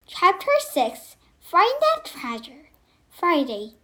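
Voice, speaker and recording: Young girl reading aloud, high lively voice. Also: slow. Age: child, about 8. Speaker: young reader. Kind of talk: storybook read-aloud.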